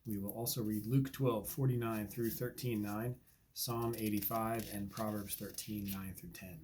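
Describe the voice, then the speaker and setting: A man's voice: fairly low pitch, steady tone, normal speed, noisy background. A man hosting a daily Bible reading, earnest and reflective, sniffling from allergies. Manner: earnest, reflective, with many ums and uhs. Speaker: Bible reading podcast host. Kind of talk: devotional commentary and scripture reading.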